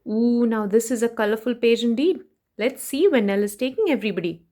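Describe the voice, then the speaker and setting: A woman's voice: fairly high pitch, a little fast. A woman reading a children's storybook aloud expressively, breaking off to put questions to young listeners. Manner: animated, addresses children directly with questions.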